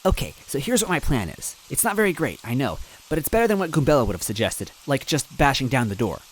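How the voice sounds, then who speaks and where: A man's voice: medium pitch, big pitch swings, fast talker, a little hiss or hum, voice pushed up, lightly acted, low-quality recording. A man voicing a cheerful, brainy young female adventurer who talks in an eager, chatty way, in a storybook reading. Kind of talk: storybook voice acting.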